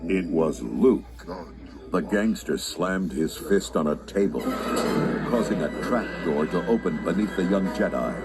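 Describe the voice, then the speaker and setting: A man's voice: low voice, animated, unhurried, music in the background. A man reading scripted, dramatic storybook narration.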